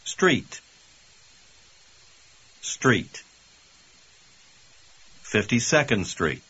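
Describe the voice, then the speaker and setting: A man models short phrases for a language course, slow and carefully enunciated. His tone is bright and a little husky, and his pitch swings widely. The audio is dull and lo-fi. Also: very animated.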